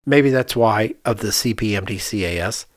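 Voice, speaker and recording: A man's voice: lowish voice, normal speed, dry recording, studio quality. A man who works as an architect, chatting casually as a podcast host in an emphatic way with rhetorical questions. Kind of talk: casual conversational discussion.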